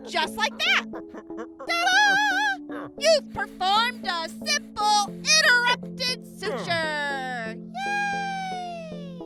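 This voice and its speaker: A woman's voice: very high-pitched, full character voice. A woman playing a perky, sassy young girl medic in a post-apocalyptic radio drama, with a sing-song delivery. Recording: music playing behind the voice.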